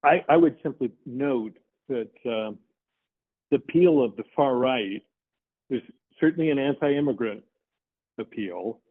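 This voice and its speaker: A man speaking as a panelist on a video call, measured and hesitant, pausing often to think. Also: medium pitch. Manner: measured, hesitant, pauses with many fillers. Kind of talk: considered panel commentary. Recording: tinny phone-like call audio.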